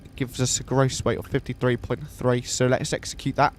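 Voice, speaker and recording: A man with a sniffly voice from a coming cold, calmly thinking aloud step by step as he streams a flight simulator session. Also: mid-range voice, fairly quick, a little hiss or hum. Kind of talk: casual live walkthrough of a flight simulator.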